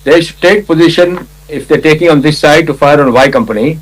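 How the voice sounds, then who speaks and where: An older Indian man, a retired army officer, recounting a battle in conversational detail, with a loud commanding voice, acting out quotes and often clearing his throat. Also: a little high, brisk, rough recording. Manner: loud commanding voice, vivid retelling with acted quotes. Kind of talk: conversational war storytelling.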